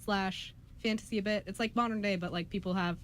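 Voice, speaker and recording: A woman's voice: medium pitch, steady tone, brisk. Young woman chatting casually, background noise. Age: young adult. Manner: chatty, informal, explains in loose rambling asides. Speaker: radio drama show host and writer. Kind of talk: casual chat. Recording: noisy room recording.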